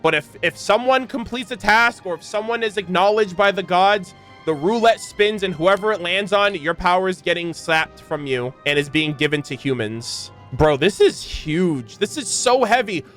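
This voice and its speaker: Casting: male gaming reaction host, high voice, informal and slangy, faint music bed. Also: animated, normal speed, a bit bright. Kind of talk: casual reaction commentary.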